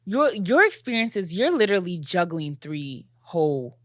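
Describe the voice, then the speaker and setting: A young woman born in Nigeria who hosts a podcast, chatting in an animated, affirming way. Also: lowish voice, moderate pace, dry recording, clean studio sound. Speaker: podcast host. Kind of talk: casual podcast conversation.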